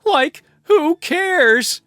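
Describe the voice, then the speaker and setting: A man voicing a cowardly, goofy young slacker from a cartoon storybook, whining and exclaiming with drawn-out words. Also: very high-pitched, expressive, pushed and bright, dry recording, clean studio sound, soft background music, voice pushed up, full character voice. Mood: nervous.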